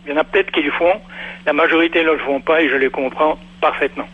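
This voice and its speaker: A man's voice: a little high, fairly even intonation, fast talker, husky, narrow, tinny audio. A man calling in to a radio talk show, speaking in a haughty, lecturing way. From Europe. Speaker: radio caller. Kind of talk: phone-in radio debate.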